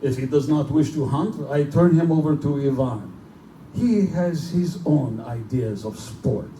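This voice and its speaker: A man's voice: mid-range voice, measured pace, mellow, close to an everyday voice. A man playing a genteel, pompous general who hunts men for sport, in a live theatrical horror drama. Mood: smug menace. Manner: urbane, pompous, condescending. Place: live performance in a bookstore. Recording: distant, echoey live room recording with noise.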